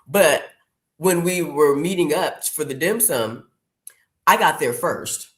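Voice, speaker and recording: A low-voiced woman hosts a relaxed podcast chat in an echoey room, her pitch rising and falling widely as she talks. She tells stories and acts out the people in them, saying things like "girl" and "y'all.". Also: slow. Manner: chatty storyteller, acts out quotes, says "girl" and "y'all". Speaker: podcast host. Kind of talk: casual conversational interview.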